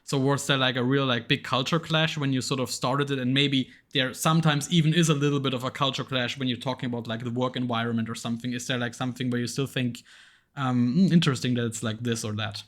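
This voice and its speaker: A man's voice: mid-range voice, fairly even intonation, fast talker, fairly bright tone. A man hosting a podcast interview, talking hesitantly with lots of fillers and agreeing often. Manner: hesitant, frequent backchannel agreement. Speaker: podcast host. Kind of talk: casual interview conversation.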